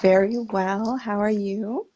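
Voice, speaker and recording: A woman's voice: medium pitch, fairly even intonation, slow pace, muffled recording, crowd noise. A woman from the United States, a health coach, telling her story earnestly and reflectively in an interview over a video call.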